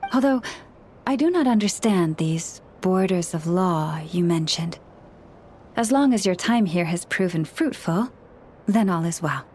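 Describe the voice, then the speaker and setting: A woman voicing a gentle, gracious young priestess in a video game, speaking in a formal, measured, polite way. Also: fairly low pitch, expressive, slight background noise. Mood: warm, courteous. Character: gentle, gracious young female moon priestess, serenely courteous. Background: background music.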